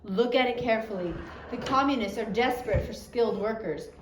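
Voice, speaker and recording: An urgent, secretive teenage girl, as a female storybook reader plays her, speaks in hushed warnings. The recording is roomy and echoing, with faint background noise. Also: a little high, fairly quick, lightly acted.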